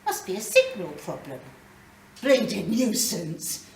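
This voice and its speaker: A woman's voice: medium pitch, huge pitch swings, a little slow. A woman voicing a tired, sceptical, worldly working mother in a short story, blunt and dismissive. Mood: weary irritation. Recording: roomy, distant mic, faint noise.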